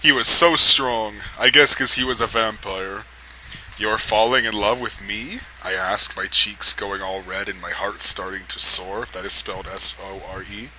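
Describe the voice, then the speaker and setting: A man's voice: lowish voice, fairly even intonation, moderate pace, few pauses, pushed and bright, a little hiss or hum, low-quality recording. A man giving a dramatic reading of amateur fan fiction, amused and often struggling to keep from laughing. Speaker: reader of a comedic fan fiction read-aloud.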